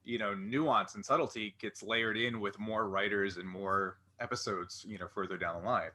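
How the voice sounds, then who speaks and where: A man's voice: on the low side, fairly even intonation, moderate pace, bright, forceful tone. A man hosting a casual interview, thinking aloud through long, rambling questions. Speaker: podcast interviewer, game master.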